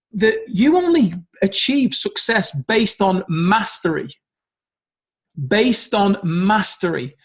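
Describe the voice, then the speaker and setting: Man, middle-aged, high-pitched, emphatic, motivational coaching talk. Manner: earnest, emphatic, repeats key phrases. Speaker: business coach.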